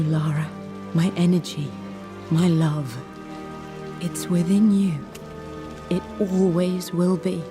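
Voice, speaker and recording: A woman acting a loving British mother in a game's letter voice-over. She speaks gently and unhurriedly in a slightly low voice, tender throughout, with music behind her. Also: lightly acted. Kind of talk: letter voice-over in a game cutscene.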